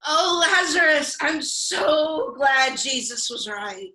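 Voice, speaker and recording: A gushing, tipsy, prim sister, acted by a woman in a comic play reading. Her high voice is bright and politely scolding, recorded in an echoey room. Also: animated, slow, heavily acted.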